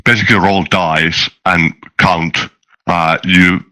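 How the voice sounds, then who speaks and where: A man talking casually as a game developer, in halting, stop-and-start phrases. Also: sits low, steady tone, sharp tone, poor audio. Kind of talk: casual chat.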